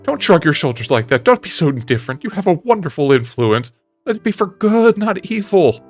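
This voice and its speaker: An earnest, anguished gentleman painter, as performed by a man in an audiobook. His voice sits fairly high and he pleads and preaches with emphatic feeling. Music plays behind him. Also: big pitch swings, a little fast. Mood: pleading. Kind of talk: dramatic audiobook character reading.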